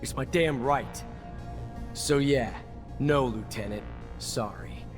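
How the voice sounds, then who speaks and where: Man as cynical veteran soldier, blunt, gruff, sardonic, mid-pitched, game dialogue, music underneath. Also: slow pace, natural voice. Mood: grim, resentful. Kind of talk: video game dialogue acting. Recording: music behind the voice.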